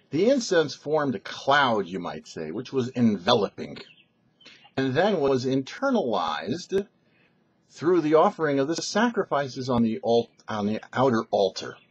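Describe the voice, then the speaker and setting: A middle-aged man, a rabbi giving a short religious teaching to camera in a measured, explanatory way. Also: medium pitch, slightly rough, highs cut off. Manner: measured, explanatory, rhetorical questions. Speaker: rabbi, show host.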